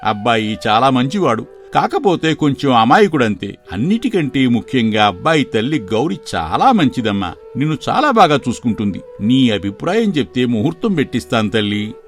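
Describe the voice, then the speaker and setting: A man's voice: medium pitch, sing-song, normal speed, soft background music. A man voicing a kindly village father. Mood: gentle. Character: kindly middle-aged father, fond of his daughter. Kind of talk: animated storybook voice acting.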